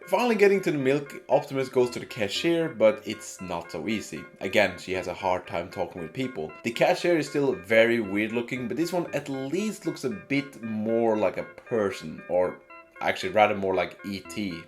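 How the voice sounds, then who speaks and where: A man's voice: lowish voice, lively intonation, normal speed, faint music behind. A man giving a casual, narrated video game review in informal, slangy language.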